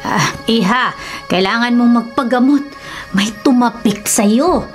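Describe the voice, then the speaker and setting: An actress playing an ominous older Filipino village woman in a radio drama, speaking slowly and gravely. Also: fairly high pitch, music in the background, natural voice.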